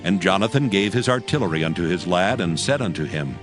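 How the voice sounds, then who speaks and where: Deep-ish guy narrating scripture solemnly, really expressive, music playing behind. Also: fairly quick. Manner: solemn, storytelling.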